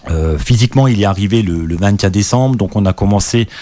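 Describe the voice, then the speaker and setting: A man's voice: fairly low pitch, brisk, warm tone. A middle-aged man from Alsace with an Alsatian accent, a guest singer answering questions in a radio interview, hesitant and full of restarts.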